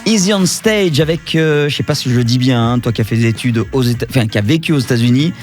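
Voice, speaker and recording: A man's voice: medium pitch, animated, fast, soft background music. A man hosting a radio show, asking short, light questions and joking with his guest. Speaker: radio host. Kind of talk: radio interview. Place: radio studio.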